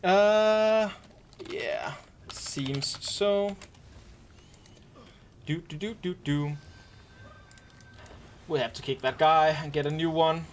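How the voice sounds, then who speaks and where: A man live-streaming, gently and casually explaining game mechanics to his squad with wry asides. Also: a little high, very slow, long pauses, a little hiss or hum. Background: faint keyboard typing and clicks.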